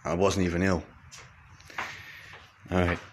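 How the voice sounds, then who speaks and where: An actor playing a frightened fugitive private eye who stammers and talks in hushed tones. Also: male voice, low voice, flat delivery, unhurried, background noise. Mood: urgent. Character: scared fugitive male detective, paranoid.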